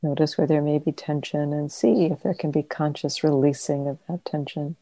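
A woman teacher leading a guided meditation in a gentle, unhurried manner, leaving long pauses. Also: low voice, fairly even intonation, no echo. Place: meditation center.

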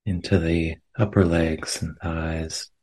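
Male meditation teacher, low register, very slow delivery, gentle phrasing with long silences between short lines. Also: little pitch movement. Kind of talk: guided meditation. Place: meditation center.

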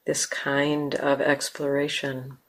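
A woman meditation teacher gently guiding a meditation, unhurried, with long pauses between short phrases. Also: a bass voice, completely flat delivery. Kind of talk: guided meditation. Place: meditation center.

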